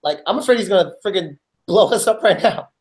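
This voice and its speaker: A man's voice: high voice, lively intonation, a little fast. A man co-hosting a podcast, bantering in a casual, joking way. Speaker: podcast co-host. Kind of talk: casual chat.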